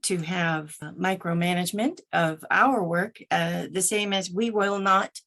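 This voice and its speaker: A woman who works as an audiobook narrator, giving conversational interview answers in deliberate, articulate phrases. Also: fairly low pitch, a bit bright.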